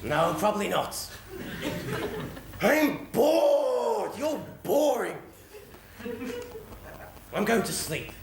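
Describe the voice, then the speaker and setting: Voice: mid-range voice, very animated, extremely slow, creaky, pauses often, crowd noise, full character voice. An actor playing a washed-up, drunken old cat in a stage pantomime, rambling and melodramatic. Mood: bored, grumpy. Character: washed-up, drunken, self-pitying old alley cat, former rat catcher. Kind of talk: stage pantomime comedy acting. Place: theatre stage before a live audience. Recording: echoey theatre stage recording with audience.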